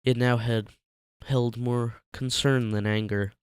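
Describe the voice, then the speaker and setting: A man's voice: mid-range voice, fairly even intonation, takes it slowly, smooth, no echo, studio quality. A man narrating fan fiction as a dramatic scripted reading.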